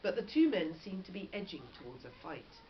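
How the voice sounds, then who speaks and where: A woman's voice: lowish voice, fairly quick. A woman reading a children's story aloud expressively, like a teacher. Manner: animated, with short explanatory asides. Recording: dull lo-fi audio with faint noise.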